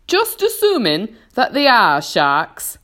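A woman voicing a brash male centipede from a storybook, loud and scoffing. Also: a little high, huge pitch swings, slow, voice pushed up, full character voice. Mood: blustering.

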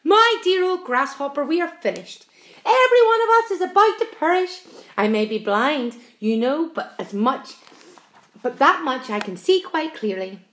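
A storybook earthworm acted by a woman, male, fatalistic and indignant. The voice is high and wailing, steeped in melodramatic doom, with a clearly roomy echo around it. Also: expressive, unhurried, a bit bright. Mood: panicked, despairing.

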